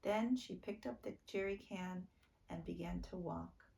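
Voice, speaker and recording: A woman reading a children's book aloud as a storytime narrator, expressive and deliberate. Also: fairly low pitch, vocal fry, low-quality recording. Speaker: storytime reader.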